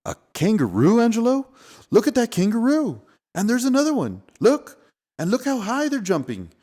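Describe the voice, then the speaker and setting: A man's voice: very high voice, huge pitch swings, moderate pace, dark tone, voice pushed up, full character voice. A man voicing a curious, wide-eyed young boy in a children's storybook, questioning and exclaiming. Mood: amazed, excited.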